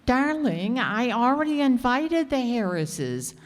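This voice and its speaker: A woman's voice: fairly high pitch, very animated, takes it slowly. A woman playing a patient, polite society wife in an old-time radio comedy. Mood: polite, firm. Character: patient, polite society wife gently contradicting her husband. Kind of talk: 1940s radio comedy acting. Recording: reverberant hall.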